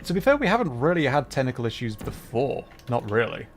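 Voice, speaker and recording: A man's voice: mid-range voice, sing-song, a little fast, faint music behind. A man casually commentating live gameplay for his chat, thinking aloud and interrupting himself as he goes. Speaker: livestreamer, host.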